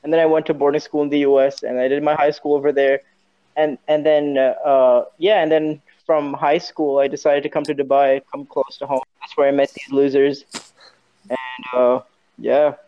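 A man's voice: medium pitch, flat delivery, moderate pace, smooth, lo-fi sound. A young man from Saudi Arabia chatting casually as a podcast guest, informal, joking and rambling loosely. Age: young adult. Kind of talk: casual chat.

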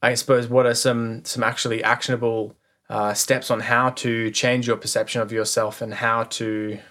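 A man's voice: mid-range voice, level tone, moderate pace, fairly bright tone. A man co-hosting a casual podcast, speaking earnestly about mindset and putting questions to his co-host. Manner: earnest, motivational, asks the other host questions.

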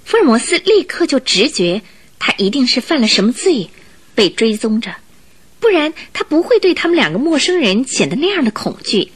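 Expressive, lilting female radio storyteller. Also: sits high, normal speed, husky. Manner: expressive, suspenseful, rhetorical questioning. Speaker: narrator. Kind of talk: dramatic scripted storytelling for radio drama. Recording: faint music behind narration. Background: faint music.

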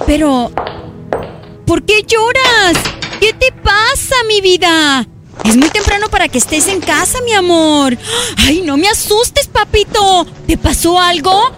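A pampered young wife pours out fussy endearments and indignant retorts in a very high, swooping voice, played by a woman. The radio comedy sketch plays over steady music. She stays sharp-tongued and quick to take offense. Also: heavily acted.

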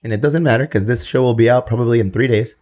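A man in his thirties hosts a loose, joking podcast chat. He swears freely and slips into Spanish phrases as he talks, with a voice of ordinary pitch for a man. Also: fast, a little mellow, slight background noise. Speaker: podcast host. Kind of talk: casual podcast banter.

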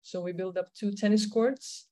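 A woman's voice: medium pitch, fairly even intonation, normal speed, warm tone. A woman with a Slovenian accent, a tennis coach telling her story in an interview, with many fillers and restarts. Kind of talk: conversational interview answers.

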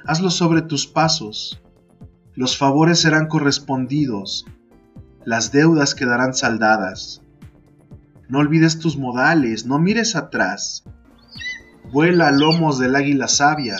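A man's voice: mid-range voice, a bit bright, lo-fi sound. Man narrating a poetic tale over music. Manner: measured, deliberate, second-person storytelling delivery. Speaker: podcast host and narrator. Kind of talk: dramatic literary narration of a poem.

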